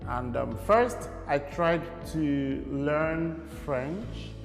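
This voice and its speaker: A man's voice: on the high side, animated, very slow, vocal fry, music in the background. A young Nigerian man, a guest in an interview, talking casually and rambling with many fillers. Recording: echoey room with long reverb.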